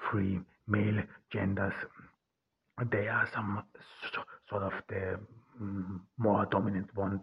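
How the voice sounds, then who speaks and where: Podcast host from Turkey: husky man, flat delivery, halting and self-correcting, dull lo-fi sound. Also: fairly low pitch. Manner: halting, many restarts and fillers. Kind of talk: casual solo podcast talk.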